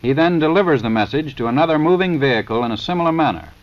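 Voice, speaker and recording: An American man reading a formal 1930s scripted narration for a training film in a measured, matter-of-fact, instructional manner. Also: fairly high pitch, lively intonation. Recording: dull, lo-fi 1930s film soundtrack.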